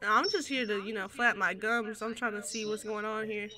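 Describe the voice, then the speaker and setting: Brash raspy-voiced woman streamer. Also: medium pitch, normal speed, crowd noise. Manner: brash, provocative trolling. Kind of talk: teasing live game chat.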